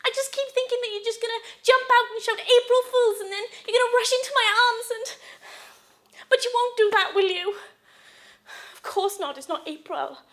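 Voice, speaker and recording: A woman's voice: high-pitched, sing-song, normal speed, pauses often. A woman performing a stage monologue as a jilted, clingy wife, sarcastic and desperately in love. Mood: sheepish, fragile.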